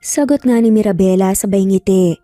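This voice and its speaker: A woman's voice: medium pitch, normal speed, dark tone, no echo, clean studio sound, soft background music. A woman with a Filipino accent telling a story in scripted, dramatic narration.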